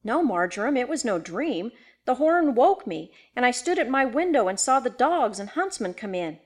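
A woman voicing a young gentlewoman in a ghost story, shaken yet determined, speaking earnestly and formally. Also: on the high side, big pitch swings, moderate pace. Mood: insistent. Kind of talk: dramatised audiobook ghost story.

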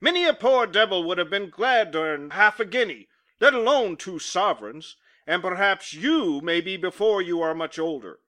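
Stern male employer, curt and scornful, a man puts him on: raised, loud, sharp delivery. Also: sits high, lively intonation, moderate pace, hardly any pauses. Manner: curt, scolding, emphatic.

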